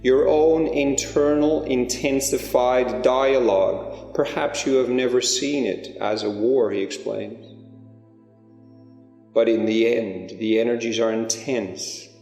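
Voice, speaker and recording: A man leading a spiritual guided meditation, speaking in slow, deliberate phrases with long pauses. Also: mid-range voice, steady tone, darkish tone. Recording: echoey hall-like reverb under music. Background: music.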